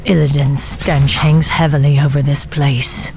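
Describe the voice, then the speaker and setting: A woman's voice: low-pitched, little pitch movement, unhurried, darkish tone. A night elf warden in a fantasy game. She is stern, commanding and vengeful, speaking with grave resolve over music. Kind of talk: dramatic video game cinematic acting.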